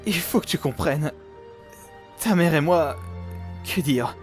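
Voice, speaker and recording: A man's voice: fairly high pitch, animated, slow pace, faint music behind. A man voicing a refined, doting demon father, hesitant and tender, in a cartoon fan dub. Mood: apologetic. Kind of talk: animated series fan dub.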